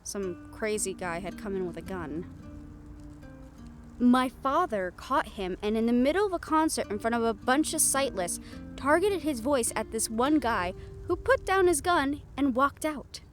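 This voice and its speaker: A high, girlish-leaning young siren-banshee played by a woman speaks intimately, as in a roleplay audio drama. She is affectionate, wry and playfully teasing, telling things conversationally. Guitar music plays behind her. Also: animated, a little slow. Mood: wry, amused. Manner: soft-hearted, teasing, conversational storytelling.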